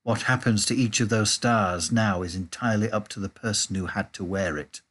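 A man's voice: on the low side, moderate pace, fairly bright tone. A man voicing a proud, composed teenage refugee girl who speaks firmly. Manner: firm, composed.

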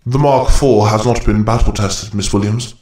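A man voicing a calm, formal male AI butler who speaks in a measured way. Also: fairly low pitch, echoey room, voice pushed down, lightly acted.

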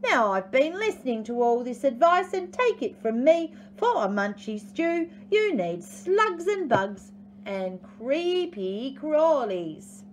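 Woman doing a bristly high-pitched bossy echidna. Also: big pitch swings, slow, a little hiss or hum, heavily acted. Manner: insistent, advising.

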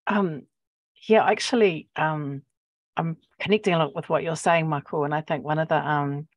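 A woman in her forties with a New Zealand accent, talking reflectively and haltingly as a poet on a panel, searching for words. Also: deep voice, fairly even intonation, no echo. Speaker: poet and academic. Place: book-lined office, indoors. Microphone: earphone mic on video call.

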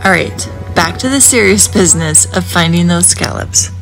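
A woman narrates a family travel video in a calm, measured, informative way. Her voice has a gravelly edge. Music plays clearly under her words. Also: fairly low pitch, poor audio. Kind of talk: scripted voice-over narration. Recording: voice-over with loud music behind.

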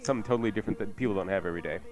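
A man's voice: on the low side, racing, darkish tone. A man hosting a radio cooking show, chatting informally as he walks through recipes. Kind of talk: conversational recipe presentation. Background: music.

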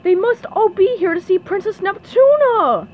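A woman's voice: on the high side, sing-song, a little slow, lo-fi sound, slight background noise. A woman voicing a grumpy, exasperated male cartoon squid from a storybook, in an accusing manner. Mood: alarmed.

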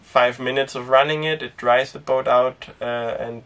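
A young man who grew up speaking Danish, chatting casually to camera inside a small sailboat, relaxed and rambling with lots of fillers. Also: mid-range voice, moderate pace, recorded from a distance. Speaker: sailing vlogger. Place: inside a small sailboat cabin and cockpit tent.

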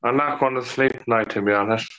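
A man's voice: medium pitch, fast talker, pushed and bright. A man from Ireland with an Irish accent, chatting casually on a video call, swearing now and then and laughing a lot. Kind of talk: casual chat. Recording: dull lo-fi call audio.

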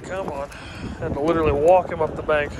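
A man's voice: on the high side, fairly even intonation, fairly quick. A man in his thirties giving casual fishing vlog commentary outdoors. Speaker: fishing channel host. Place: outdoors on a windy lakeshore. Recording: loud wind noise on camera mic.

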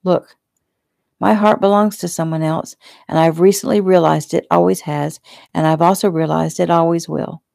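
A woman narrator plays a weary young man in a romance audiobook. The voice is low and mellow with a creaky edge, earnest, halting and placating. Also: fairly even intonation, no room sound, clean studio sound.

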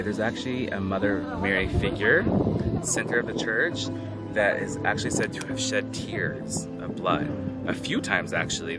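A man in his thirties chatting playfully as a travel vlogger, filming himself at arm's length outdoors and in a car. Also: sits low. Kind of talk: casual travel vlog. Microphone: handheld camera at arm's length.

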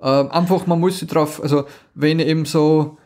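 A man's voice: fairly high pitch, steady tone, normal speed, warm tone. A man co-hosting a podcast about audio dramas, talking casually with many fillers and restarts. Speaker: podcast co-host.

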